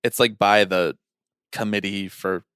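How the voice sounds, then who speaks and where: A man's voice: lowish voice, steady tone, measured pace, a bit bright, clean tone, no room sound, studio quality. A man co-hosting a podcast in casual, chatty banter with a jokey manner. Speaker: podcast co-host.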